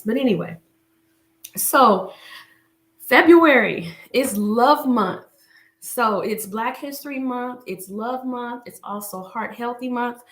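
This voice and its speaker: Woman, relationship coach, slightly raspy, chatty with restarts; mid pitch, roomy sound. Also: a bit bright. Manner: chatty, many filler restarts. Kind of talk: casual live stream talk and book reading.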